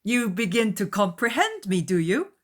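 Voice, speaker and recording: Woman playing amiable, animated young gentleman. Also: medium pitch, normal speed, pitched above the performer's own voice. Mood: breezy. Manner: eager, emphatic, courteous. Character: amiable, breezy, impulsive young English gentleman. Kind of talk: dramatized audiobook character voice.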